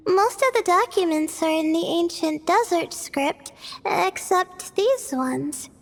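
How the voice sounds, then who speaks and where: A woman's voice: very high-pitched, expressive, slow, vocal fry, in a large hall, heavily acted. A woman voicing a timid, modest teenage student in an English game dub, hesitant and self-doubting. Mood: hesitant, thoughtful.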